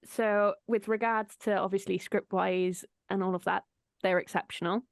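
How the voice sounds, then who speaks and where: A woman hosts a loose, friendly-format chat in a middling pitch. She is informal and giggly, and swears freely. The sound is very dry, with hardly any room on it. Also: steady tone, moderate pace, vocal fry, no room sound. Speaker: host of an audio drama behind-the-scenes chat. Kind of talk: casual chat.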